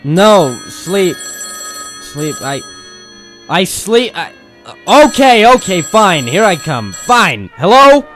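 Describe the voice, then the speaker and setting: A man's voice: high-pitched, sing-song, slow, fairly bright tone, full character voice. A man playing a world-weary, wisecracking private detective in an old-time radio drama style, with theatrical noir delivery. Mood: groggy, irritated. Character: world-weary hardboiled male private detective narrator, ex-Marine. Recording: music behind the voice.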